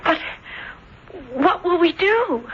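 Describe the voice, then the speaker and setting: A tender, torn young sweetheart in a radio drama. Her voice is high and bright, hesitant and full of emotion. It comes through a dull old broadcast. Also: sing-song, slow, exaggerated voice. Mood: torn, pleading. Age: young adult.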